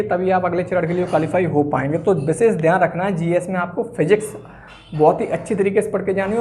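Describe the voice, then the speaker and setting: A man's voice: sits high, a little mellow, slight background noise. Guy doing an exam coaching explainer, informal, leans hard on key phrases.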